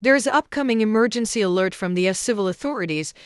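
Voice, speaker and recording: A woman's voice: mid-range voice, quick pace, clean tone, dry recording, studio quality. A woman announcer reading a scripted bulletin for a weather radio channel.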